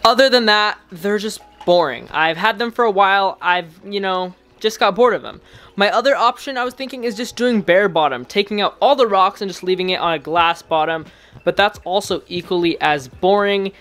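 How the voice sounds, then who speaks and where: A man's voice: high-pitched, moderate pace, a bit bright. A young adult American man chatting casually as an aquarium hobby vlogger, talking to a camera at home. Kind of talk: casual vlog chat and narration to camera. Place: mostly indoors in a home room with fish tanks.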